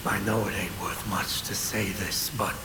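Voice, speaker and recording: Ruthless game villain, smug, deliberate, lowish voice, echoey and very noisy. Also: male voice, fairly even intonation. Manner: slow, deliberate, colloquial. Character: cold, smug male villain, quietly ruthless. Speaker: video game voice actor.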